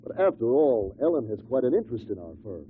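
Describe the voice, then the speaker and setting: An actor playing a worried, reasonable business partner, earnest and probing, in a 1950s radio drama. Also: male voice, mid-range voice, very animated, quick pace, close to an everyday voice. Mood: concerned. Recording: tinny, noisy old radio recording.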